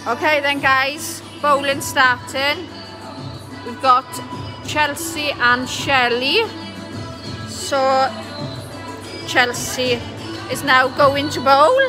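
Slow-talking mum. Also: female voice, high voice, steady tone, music in the background, rough recording. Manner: chatty, cheering on. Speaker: mother filming a family outing. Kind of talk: casual vlog narration. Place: bowling alley, indoors.

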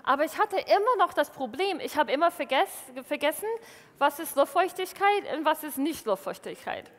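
Woman, American accent, native English speaker, lively self-mocking manner, high voice, long hall reverb. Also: moderate pace. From Wisconsin, USA. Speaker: science slam speaker. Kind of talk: humorous stage talk. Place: large indoor stage hall.

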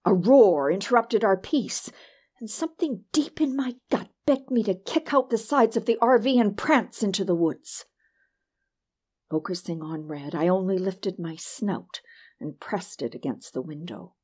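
A woman reading a first-person short story as an audiobook narrator, with expressive, dramatic delivery. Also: medium pitch, measured pace, breathy edge.

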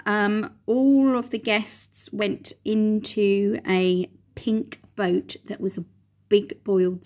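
A middle-aged woman teacher reads a children's chapter book aloud with lively expression, her voice at a medium pitch. She records on a phone held close in a large indoor room. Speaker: primary school teacher. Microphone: phone front camera at close range.

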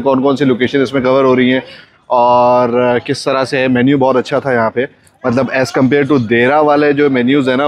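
Young male travel vlogger, relaxed chatty delivery, phone-recorded outdoors on a boat. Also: mid-range voice. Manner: chatty, mixes English phrases, repeats "जो है ना". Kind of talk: casual walkthrough vlog. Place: outdoors on a cruise boat deck.

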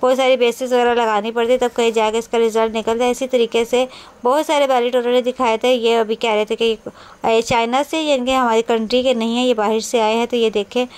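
High, clear-voiced Pakistani woman vlogger. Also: level tone, fairly quick, darkish tone. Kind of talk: casual shopping vlog voice-over narration.

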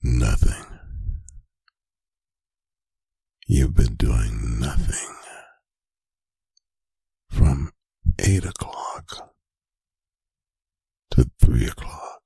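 A man playing a stern, angry, domineering boyfriend in a whispered ASMR roleplay, breathy and gravelly, with slow, deliberate, menacing phrasing. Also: rumbling low, animated, mellow, no room sound, full character voice. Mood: accusing, interrogating. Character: stern, angry, domineering boyfriend scolding his adult partner. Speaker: ASMR voice performer. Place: bedroom, close and dry.

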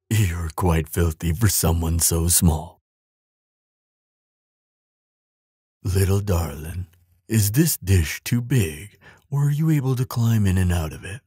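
Man playing a deep, husky gentle giant. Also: no echo, clean studio sound, full character voice. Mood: tender, caring. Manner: soothing, doting, unhurried. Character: huge gentle male giant, tender and caring. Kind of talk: audio roleplay acting, softly spoken to the listener.

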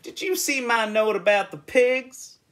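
A man's voice: very high voice, a little slow, fairly bright tone, pitched above the performer's own voice. Man putting on a bossy, exasperated farmer. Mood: questioning. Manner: emphatic, demanding. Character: bossy farmer phoning home, suspicious and exasperated.

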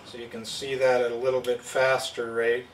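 A man's voice: medium pitch, completely flat delivery, moderate pace, recorded from a distance, a little hiss or hum. A man giving a plain, step-by-step product demonstration at a table indoors. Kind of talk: hands-on product demo. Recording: roomy sound from off-mic.

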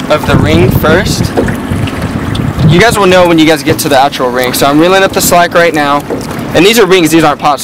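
A young man on a small open boat, casually explaining how to catch crabs, with ums and restarts. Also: a little high. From Oregon. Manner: relaxed, instructive, filler words. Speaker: vlogger. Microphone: action camera on the boat. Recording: distant mic, loud wind and water noise. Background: wind and water.

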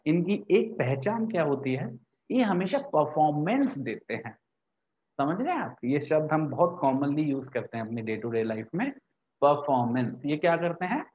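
A man's voice: medium pitch, a little mellow, muffled recording. A young man lecturing as a teacher, explaining step by step with repeated points and questions he answers himself. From India. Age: young adult. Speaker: law teacher. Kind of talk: lecture to camera, Hindi mixed with English terms. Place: small indoor room with a whiteboard. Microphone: lapel mic.

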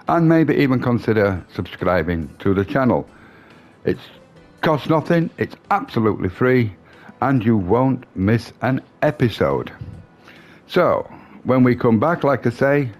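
Lively man signing off a gaming video. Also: mid-range voice. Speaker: gaming channel host. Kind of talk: casual video game commentary outro.

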